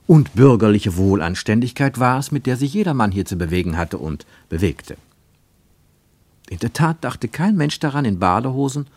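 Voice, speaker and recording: Deep-ish male narrator, measured radio storytelling w/ pauses, very dry close sound. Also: darkish tone, no echo. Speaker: narrator, reader of literary prose. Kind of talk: scripted literary narration for German radio.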